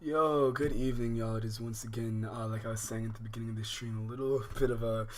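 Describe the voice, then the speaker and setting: A man's voice: medium pitch, fairly even intonation, fast. An American man hosting a livestream, talking casually to viewers in a slangy, profane, rambling way full of asides. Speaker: livestream host.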